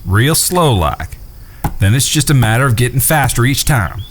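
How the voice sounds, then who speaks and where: A man playing a steady, watchful gunslinger in an audio drama, with plain, folksy speech. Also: medium pitch, normal speed, slight background noise, close to an everyday voice. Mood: instructing. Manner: plain-spoken, folksy cowboy talk. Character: steady, watchful blind gunslinger brother, patient teacher. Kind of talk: western audio drama acting.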